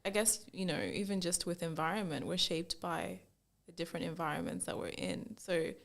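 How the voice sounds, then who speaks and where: A young woman from Zambia chats freely as an interview guest, with a lowish voice that has a touch of vocal fry. She tells her story in an animated way, filling it with "like" and acting out what people said to her. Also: moderate pace. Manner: animated storyteller, acts out quoted remarks, many "like"s. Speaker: fashion brand founder, guest. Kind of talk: casual interview chat.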